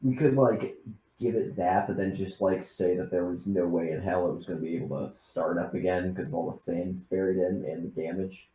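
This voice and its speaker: A man's voice: low-pitched, fairly even intonation, fairly quick, mellow. A man chatting casually as a player in a tabletop roleplaying game. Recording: echoey room with faint noise.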